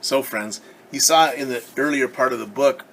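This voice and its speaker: A male teacher reading a picture book aloud to children, pausing to explain words and ask questions. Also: medium pitch, vocal fry, slight background noise, poor audio. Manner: warm, questioning teacher talk to kids.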